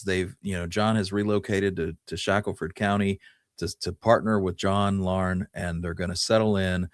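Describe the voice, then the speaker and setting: A man co-hosting a casual history discussion, thinking aloud in a halting way as he goes. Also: low voice, no echo, studio quality. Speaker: podcast co-host.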